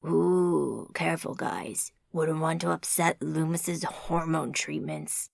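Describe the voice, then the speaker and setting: A woman doing a deep-voiced big American man. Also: slow pace, vocal fry, darkish tone, dry recording, full character voice. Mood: teasing. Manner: joking, teasing.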